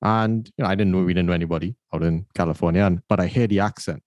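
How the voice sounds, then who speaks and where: A man's voice: low-pitched, steady tone, fast talker, a little mellow, dry recording, muffled recording. A man hosting an interview, talking thoughtfully and telling stories, often repeating words as he starts his sentences. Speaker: podcast host. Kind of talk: casual interview conversation.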